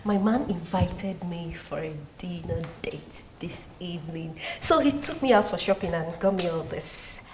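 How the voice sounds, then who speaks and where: An actress playing an unbothered young sister in a casual, conversational film scene. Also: female voice, lowish voice, unhurried, few pauses, noisy background, natural voice. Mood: breezy.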